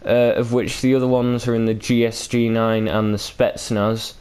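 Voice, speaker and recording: A man's voice: medium pitch, little pitch movement, moderate pace, darkish tone, clear, resonant tone. A man hosting a gaming video with casual, unscripted commentary, rambling and hesitant with loose, sweary language.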